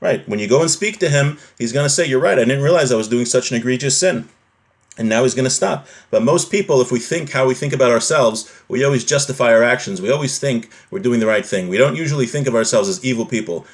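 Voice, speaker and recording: A man's voice: medium pitch, quick pace. A man giving an informal lesson in religious law, reading Hebrew phrases from a text and explaining them conversationally with rhetorical questions. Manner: switches between Hebrew quotations and plain explanation.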